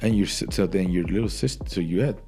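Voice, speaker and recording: A man's voice: low voice, fast, warm tone, soft background music. A man hosting a casual podcast interview, asking questions in English with some Spanish words dropped in.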